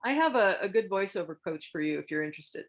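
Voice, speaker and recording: A woman's voice: fairly low pitch, expressive, quick pace, lo-fi sound. A woman hosting a casual group feedback discussion, calling on people and responding to them. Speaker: host moderating feedback after a script table read.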